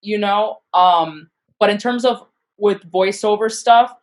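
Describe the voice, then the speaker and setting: A woman in conversation as a podcast guest, animated and self-deprecating, with quick asides. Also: medium pitch. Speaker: podcast guest, actor and comedian. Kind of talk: casual interview chat.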